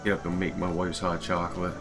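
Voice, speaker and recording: Deep-voiced man casually narrating his gameplay. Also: completely flat delivery, brisk. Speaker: streamer and mod creator. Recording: game music behind the voice. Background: video game music.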